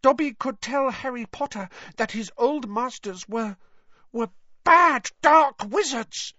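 A woman voicing an excitable, timid male house elf in a storybook, with a squeaky voice and deferential, broken third-person grammar. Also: slow pace, no echo, muffled recording. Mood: fearful, breathless.

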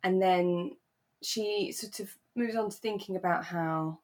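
A woman with a slightly low voice recaps a story as a podcast co-host. Her delivery is casual and conversational, like relaxed talk between two people. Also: unhurried. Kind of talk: relaxed casual chat recapping a radio drama.

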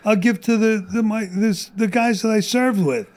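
A middle-aged man with a high voice rants in a casual podcast chat, blunt and profane. His voice is wearing out and getting strained. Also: moderate pace, a little mellow. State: losing his voice. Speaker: guest.

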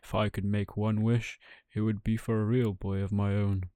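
A man voicing a kindly, loving woodcarver father with a heartfelt, expressive delivery in a bedtime story. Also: low-pitched, moderate pace, dry recording, clean studio sound, natural voice. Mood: wistful.

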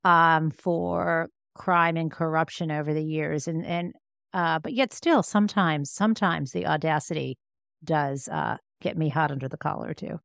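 A woman in her fifties with an American accent and a fairly low voice. She talks in an articulate, reflective way, telling stories about herself in a conversational interview. The sound is very dry, with no room echo. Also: fairly even intonation, a little slow, gravelly, a bit bright. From Michigan, United States. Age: 50s. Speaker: interview guest, law professor and author. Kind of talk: conversational personal storytelling in an interview.

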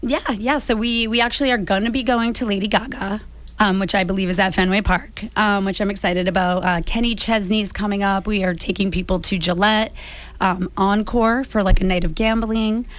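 A woman with an American accent and a slightly raspy voice, chatting casually as an interview guest. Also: mid-range voice, steady tone, moderate pace. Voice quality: a little raspy. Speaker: guest, small business founder.

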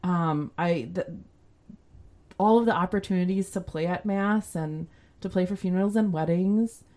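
A woman with a fairly low voice, a podcast guest talking casually and hesitantly about music and teaching. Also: moderate pace, mellow. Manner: hesitant, self-deprecating, many restarts. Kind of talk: casual chat.

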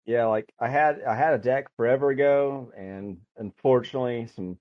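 A man guesting on a podcast over an internet call, soft-spoken and hesitant, often laughing. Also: mid-range voice, moderate pace, no echo. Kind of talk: casual chat. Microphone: headset over internet call. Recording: dry, dull lo-fi internet call audio.

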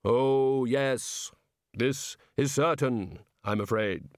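The grim reaper in an audiobook, polite, measured, implacable, altered timbre, close dry sound. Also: male voice, medium pitch, no echo. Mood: polite, unbothered.

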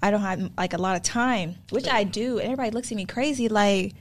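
A woman's voice: mid-range voice, fast, a little hiss or hum. A woman in her twenties hosting a podcast, chatting casually and filling her talk with "like". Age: 20s. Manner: chatty, lots of "like", laughs often. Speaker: podcast host. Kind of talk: casual chat.

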